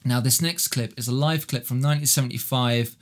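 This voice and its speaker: A man with a medium-pitched voice talks through guitar gear as a presenter. His delivery is casual and explanatory, like an online tutorial. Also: fairly even intonation, fairly quick. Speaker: guitar effects demonstrator and presenter.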